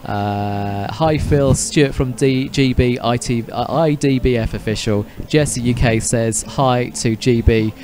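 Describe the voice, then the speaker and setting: A man's voice: mid-range voice, slow pace, few pauses. Man chatting live over background noise. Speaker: broadcast producer. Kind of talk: casual live chat, reading out viewer greetings. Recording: clear outdoor background noise.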